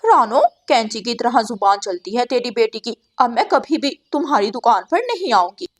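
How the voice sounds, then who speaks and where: A woman voicing a greedy, haughty female crow with a sharp, scornful manner in a cartoon story. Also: fairly high pitch, lively intonation, normal speed. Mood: indignant. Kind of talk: Hindi animated moral-story dialogue.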